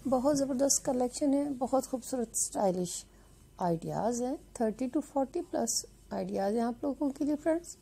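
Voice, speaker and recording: A woman's voice: fairly high pitch, lively intonation, moderate pace, gravelly, dark tone, husky. A woman host giving a chatty, repetitive voice-over, addressing her viewers as friends. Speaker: video channel host.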